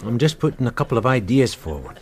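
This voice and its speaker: Loyal male sergeant for radio crime drama, played by an actor: plain-spoken, tactful, mid-pitched, lively delivery. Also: fast talker, natural voice. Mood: explanatory, cautious. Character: loyal, easygoing, canny male police sergeant.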